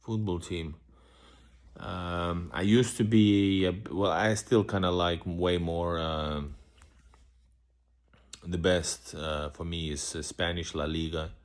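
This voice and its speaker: Very deep-voiced rambling vlogger man. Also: fairly even intonation, slow. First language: Russian. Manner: loose and rambling, many restarts and fillers, switches between English and Russian. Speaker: vlogger hosting a live Q&A. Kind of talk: casual live-stream chat answering comments.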